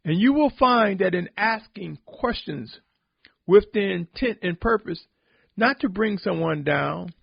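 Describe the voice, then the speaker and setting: A man giving a solemn, sermon-like podcast reflection, exhorting deliberately with emphatic repetition in a husky, gravelly voice. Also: high voice, no echo, muffled recording. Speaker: religious podcast host and preacher.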